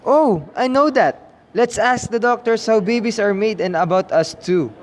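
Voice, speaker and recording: Man playing a high-voiced, inquisitive little kid. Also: normal speed, slight background noise, lightly acted. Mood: confident, curious. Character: curious, chatty young twin child. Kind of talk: amateur puppet show acting.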